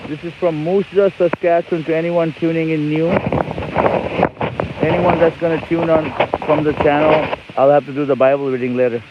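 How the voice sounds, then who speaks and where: A man's voice: a little high, steady tone, moderate pace. Cheerful man on a bike. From Nepal. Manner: cheerful, rambling, enthusiastic. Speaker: livestream host. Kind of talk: casual livestream chat. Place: outdoors on an e-bike in winter. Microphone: phone. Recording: lo-fi phone stream with loud outdoor noise.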